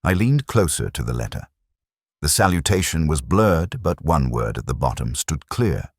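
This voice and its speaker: A man narrating a scripted first-person detective story in a measured, literary, world-weary manner. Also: rumbling low, sing-song, breathy edge, no room sound, studio quality.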